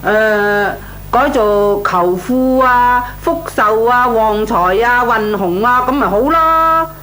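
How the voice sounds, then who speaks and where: An actress playing a nosy, sharp-tongued middle-aged landlady in a Cantonese radio comedy, lecturing and scornful, with a Hong Kong Cantonese accent. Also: on the high side, slow. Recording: noisy old radio recording with hum.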